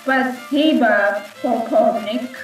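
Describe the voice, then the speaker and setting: Slow, echoing female Russian spy. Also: fairly high pitch. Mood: dutiful. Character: imperious seductive female Russian spy, coldly devoted agent. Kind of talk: radio drama acting. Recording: very echoing, distant, faint music.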